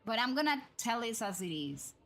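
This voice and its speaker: A woman's voice: on the high side, sing-song, normal speed, fairly bright tone. A woman hosting a livestream, thinking aloud in casual, chatty and rambling commentary as she plays a game. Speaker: livestream host. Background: background music.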